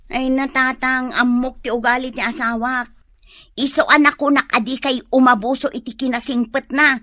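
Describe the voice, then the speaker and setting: A woman's voice: high voice, little pitch movement, moderate pace, pushed and bright, no echo, studio quality. A woman with a Filipino accent playing a scolding, protective young wife in a comedy radio drama. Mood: firm, protective.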